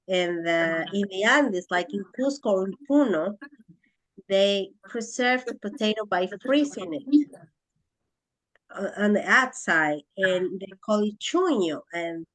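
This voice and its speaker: A woman's voice: medium pitch, rough recording. Peruvian woman explaining in English. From Peru. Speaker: guest speaker in an English class. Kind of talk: casual explanatory chat.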